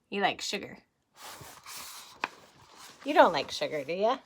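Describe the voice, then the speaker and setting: A woman teacher reading a picture book aloud to young children, expressive, with casual asides and questions to the listeners. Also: mid-range voice, slight background noise. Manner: expressive, addresses children with questions and simple explanations.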